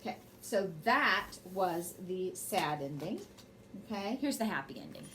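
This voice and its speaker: A woman's voice: fairly low pitch, big pitch swings, unhurried, fairly bright tone, slight background noise. A woman reading a children's bedtime story aloud expressively, with brief asides to her young listeners. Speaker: storybook reader and host.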